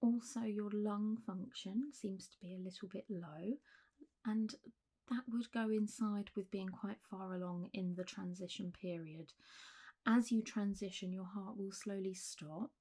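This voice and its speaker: A woman with a soft, mellow voice that is breathy and often slips into whispering. She plays a clinic doctor in an ASMR roleplay. Her manner is gentle and reassuring, and she takes her time, as if easing a patient through a check-up. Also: mid-range voice, steady tone, unhurried. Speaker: ASMR performer role-playing a clinic doctor.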